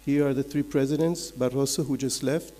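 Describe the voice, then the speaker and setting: A man from Belgium, giving a measured, explanatory slide presentation as a conference speaker, point by point. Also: mid-range voice, fairly even intonation, dark tone, soft background music. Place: large conference hall. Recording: echoey hall with long reverb.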